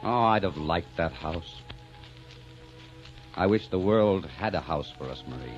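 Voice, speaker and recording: Very deep, solemn romantic man in radio drama. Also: lively intonation, unhurried. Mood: tender, wistful. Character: solemn, tender male lover resigned to death. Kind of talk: 1940s radio drama acting. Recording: dull old radio recording, soft music behind.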